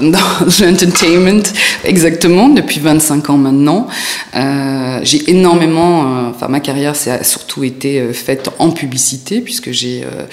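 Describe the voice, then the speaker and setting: A woman's voice: low voice, darkish tone. A woman in her forties from the United States, answering interview questions with frequent hesitations and fillers.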